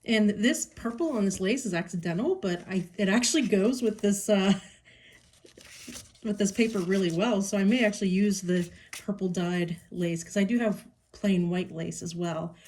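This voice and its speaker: A woman with a Pittsburgh accent hosting a casual craft tutorial at home, talking in an unhurried, chatty way with many ums and small delighted asides. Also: medium pitch, a little mellow, slight background noise.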